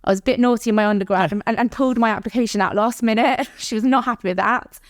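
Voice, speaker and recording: A woman in her thirties from South London with a London accent, a startup founder telling her story in a podcast interview, chatty and quick to laugh. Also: a little high, no room sound, studio quality. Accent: London English.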